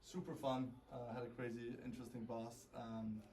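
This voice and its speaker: A man's voice: mid-range voice, level tone, moderate pace, warm tone. A man in his thirties from Denmark and southern France, talking informally and self-deprecatingly to students as a startup investor and guest speaker. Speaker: venture capital founder, guest speaker. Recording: distant mic with faint noise.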